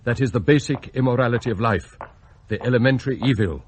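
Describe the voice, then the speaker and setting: An actor playing a scheming, cultured English butler in a radio drama, articulate, pompous and confiding. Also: male voice, mid-range voice, quick pace, slight background noise, lightly acted. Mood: confiding, superior. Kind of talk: radio drama acting, first-person narration and dialogue.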